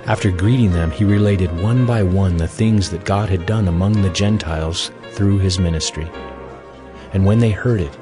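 A man narrating a dramatized audio Bible as measured, scripted storytelling. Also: low voice, over music.

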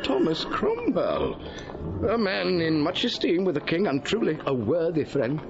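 An actor playing a sociable, gossipy gentleman eyewitness in a Shakespearean audio drama, with an animated, relishing delivery. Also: a little high, a little slow, crowd noise, exaggerated voice. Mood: chatty, assured. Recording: dull audio with background noise.

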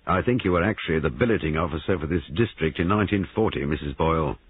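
Band-limited, radio-like sound frames a grave major voiced by a male narrator. He speaks formally and pointedly, quiet and measured, with a husky tone. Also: extremely low pitch, lively intonation, no echo, phone-quality sound, exaggerated voice. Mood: quietly accusing.

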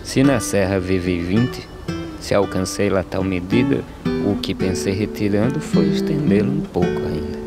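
Male actor as weary migrant, low pitch, measured poetic animation monologue, prominent guitar music. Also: close to an everyday voice. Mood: weary resignation. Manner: solemn, measured verse delivery. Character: weary young male drought migrant, prematurely aged, resigned. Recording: plucked guitar music behind the voice.

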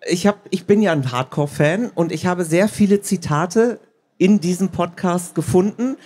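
A male host with a fairly high voice moderates a live stage talk. He speaks as a presenter into a microphone, addressing both guests and listeners. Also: normal speed, darkish tone, slightly rough. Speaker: host, audiobook producer. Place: stage at a book fair.

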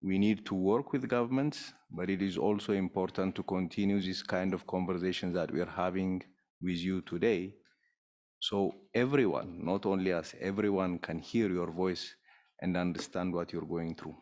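A man with a deep, low-set voice addresses a formal panel as an official. He explains in measured, deliberate phrases and frames his points with rhetorical questions. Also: fairly even intonation, a little mellow.

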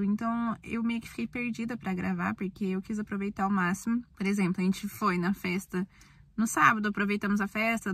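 A young Brazilian woman with a Brazilian accent, chatting casually to camera from a car on the road, rambling and chatty with bursts of excited talk. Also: mid-range voice, creaky, dry recording. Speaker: vlogger. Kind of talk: casual vlog chat to camera.

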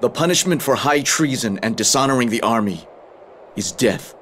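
An actor playing a stern, proud young army captain in a video game, with a commanding, formal delivery. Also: male voice, mid-range voice, expressive, moderate pace, a bit bright, a little hiss or hum, natural voice. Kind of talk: dramatic video game acting.

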